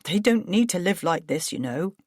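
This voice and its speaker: A woman's voice: fairly low pitch, expressive, normal speed, a little mellow, no echo, studio quality. A woman voicing a flustered, self-pitying middle-aged businessman who turns defensive and agitated. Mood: exasperated. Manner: agitated, defensive, rising to outbursts.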